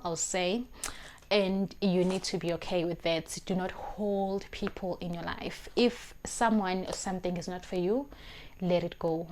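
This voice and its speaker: Brief: South African woman, twenties, lower-pitched, earnest advice vlog on a lapel mic. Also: darkish tone, slight background noise. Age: 20s. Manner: earnest, emphatic, repeats phrases while searching for words. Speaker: vlogger. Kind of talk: talking-to-camera life advice. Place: small indoor room, plain wall.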